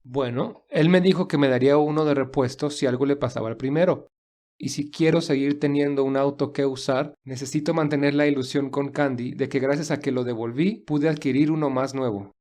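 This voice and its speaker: A man playing an earnest, defensive young gentleman in love in an audio drama, speaking in a measured way. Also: medium pitch, steady tone, natural voice. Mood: guarded.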